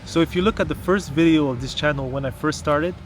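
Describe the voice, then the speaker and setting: A man's voice: fairly high pitch, fast. A man from Canada talking to the camera in a casual explanatory vlog, earnest and emphatic, often posing rhetorical questions. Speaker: vlogger, history content creator.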